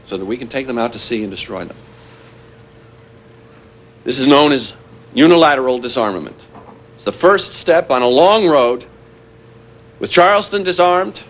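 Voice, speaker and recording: An actor playing a grave, earnest former weapons planner turned militant, delivering a deliberate televised statement in a realistic drama. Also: male voice, on the high side, a little hiss or hum, lightly acted. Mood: firm, demanding. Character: grave male former weapons planner turned anti-nuclear hostage-taker.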